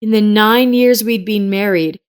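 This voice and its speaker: A woman narrates a scripted life story in the first person. Her voice sits at an average pitch for a woman, and the delivery is that of a dramatised audio story. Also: measured pace, clean tone.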